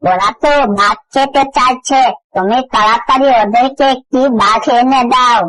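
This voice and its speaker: A woman plays a worried but crafty vixen wife in a storybook cartoon. Her voice is fairly high and recorded dry, with an obedient manner. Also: moderate pace, a bit bright, no room sound, poor audio. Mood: scheming, pleading. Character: anxious but obedient, cunning mother fox. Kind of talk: cartoon fairy-tale acting.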